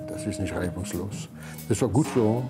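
A man's voice: low-pitched, moderate pace. A man in his sixties from Poland, a native Polish speaker, giving casual interview remarks. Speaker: head of a family instrument business, bassist. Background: music.

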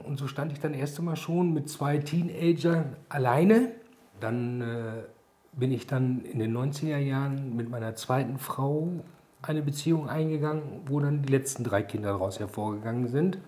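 A man in his sixties speaks in a TV interview alongside his partner. His voice sits at a mid pitch, and he talks calmly and warmly about the two of them. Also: moderate pace. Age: 60s.

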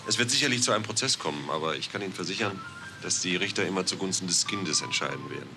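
An actor playing a calm official who speaks in a measured, formal way. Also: male voice, low-pitched, vocal fry, over music. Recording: noticeable background noise.

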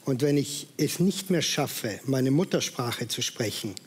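A man's voice: mid-range voice, lively intonation, unhurried, slightly rough. A middle-aged man from South Tyrol with a South Tyrolean accent, a talk show guest speaking earnestly and plainly. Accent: South Tyrolean German. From South Tyrol, Italy.

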